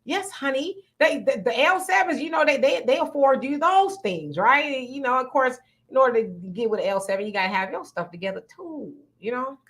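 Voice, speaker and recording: A woman's voice: high voice, lively intonation, brisk, hardly any pauses, fairly bright tone. A woman hosting a livestream, chatting frankly and playfully as she reads out viewer comments. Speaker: livestream host. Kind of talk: casual chat reading viewer comments.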